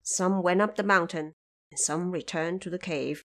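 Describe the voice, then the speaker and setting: A woman's voice: fairly low pitch, steady tone, normal speed. A woman narrator telling a story in a scripted, theatrical and declamatory audio drama style.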